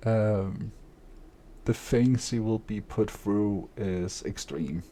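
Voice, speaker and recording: Guy talking slow and flat, kinda low voice, lots of ums, streamer rambling. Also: slight background noise. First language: Danish. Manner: monotone; hesitant, many fillers. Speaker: streamer and aspiring author. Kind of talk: casual spoken monologue.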